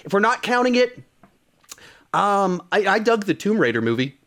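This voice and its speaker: A man's voice: high voice, sing-song, normal speed, a bit bright. A man hosting a podcast, talking casually about films in a conversational, moderating manner. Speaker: podcast host. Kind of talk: casual film discussion.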